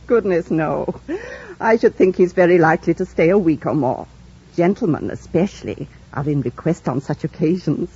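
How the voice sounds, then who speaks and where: An actress playing a kindly, gossipy older housekeeper in an old radio drama. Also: female voice, sits low, moderate pace, mellow, exaggerated voice. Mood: chatty. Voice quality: husky. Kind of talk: 1940s radio drama acting. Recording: dull old radio recording.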